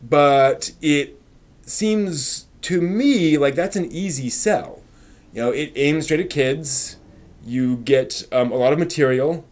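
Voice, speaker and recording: A man hosting a casual live stream, chatting and thinking aloud in a halting way, often trailing off as he answers viewers. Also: a little high, a little mellow.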